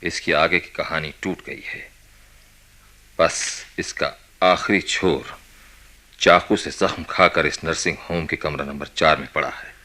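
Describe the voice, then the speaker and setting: Radio drama: intense experimenting doctor, very low, sharp-edged voice, authoritative and probing. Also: male voice, fairly even intonation, exaggerated voice. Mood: grave, musing. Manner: deliberate, probing, commanding, dramatic pauses. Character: authoritative, intense senior psychiatrist running experiments. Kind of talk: radio play acting, Hindi mixed with English.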